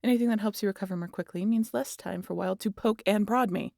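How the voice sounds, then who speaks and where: An actress as a sardonic female vampire in an intimate audio roleplay. Her voice is gravelly with vocal fry and recorded very dry and close. She teases and scolds in a casual, sweary way, protective beneath the sarcasm. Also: medium pitch, fast talker, a little mellow, dry recording. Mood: coaxing, wry. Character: teasing, sardonic immortal female vampire, protective of wounded hunter.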